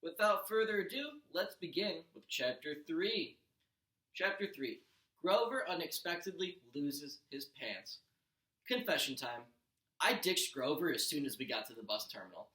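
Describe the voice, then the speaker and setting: A man's voice: on the high side, lively intonation, moderate pace, bright, forceful tone. A man reading a story aloud like a teacher to a class, expressively, with the odd stumble and self-correction. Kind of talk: animated children's audiobook read-aloud. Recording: echoey room.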